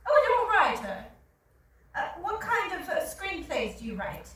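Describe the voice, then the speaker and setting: A woman on stage playing a brisk, snobbish, money-minded attorney on a date, heard from far off in a very echoey theatre. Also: extremely high pitch, very animated, a bit bright, exaggerated voice. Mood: polite interest. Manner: brisk, blunt, condescending. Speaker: actor in a staged reading. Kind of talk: stage dialogue. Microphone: far audience camera. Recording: very echoey theatre, distant mic, faint noise.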